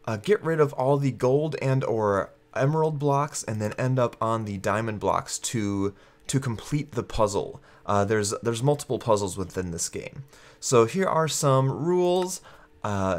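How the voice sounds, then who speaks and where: A man narrates a video game walkthrough in a casual, explanatory way. His voice is mid-pitched and sounds stuffed up from congestion. He talks conversationally, as a host showing viewers around. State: congested with a cold.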